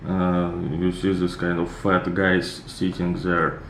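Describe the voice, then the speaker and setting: Low-voiced Russian man, slow and echoey. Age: 30s. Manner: relaxed, rambling, many fillers. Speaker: reaction video host. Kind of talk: casual commentary. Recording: echoey room with clear background noise.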